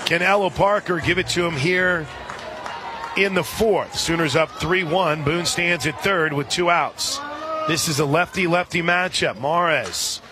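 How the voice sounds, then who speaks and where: A man working as a radio play-by-play announcer, calling a live softball game. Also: fairly high pitch, a little slow. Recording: outdoor stadium crowd noise behind. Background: ballpark crowd and outdoor ambience.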